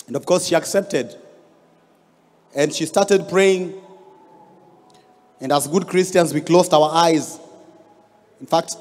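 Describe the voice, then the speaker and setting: A Kenyan man in his thirties, a pastor telling a story in a sermon with rising emphasis, on a handheld mic. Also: on the high side. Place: large church hall stage. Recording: long hall reverb.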